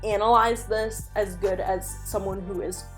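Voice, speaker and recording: A woman's voice: mid-range voice, fairly even intonation, measured pace, faint music behind, low-quality recording. A woman giving casual, chatty commentary as a video host, speaking with enthusiasm and emphasis. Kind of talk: casual commentary on an online animated horror series.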